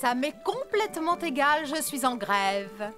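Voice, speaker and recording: A woman's voice: high voice, sing-song, a little slow. A woman voicing a fed-up, stubborn middle-aged elephant mother in a children's cartoon dub. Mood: firm, annoyed. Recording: music behind the voice.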